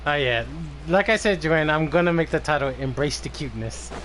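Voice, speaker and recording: A man's voice: on the high side, animated, brisk, fairly bright tone. A man chatting casually as he streams a game. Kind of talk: casual live stream chat. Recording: slightly noisy stream audio.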